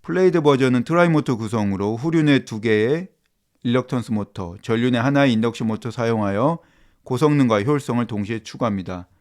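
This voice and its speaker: A man reading scripted explainer narration in a formal, measured manner. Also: medium pitch, a little mellow.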